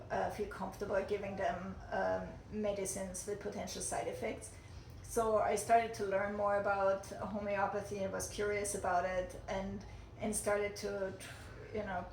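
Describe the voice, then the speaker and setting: A middle-aged woman from Austria, giving a personal testimonial as a mother, hesitant with many fillers and restarts. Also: medium pitch. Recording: echoey room with background noise.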